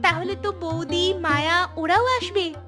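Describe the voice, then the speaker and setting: Very high-pitched chirpy housemaid. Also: female voice, measured pace, over music. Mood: eager. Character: chatty, cheeky young female village housemaid. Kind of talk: cartoon voice acting.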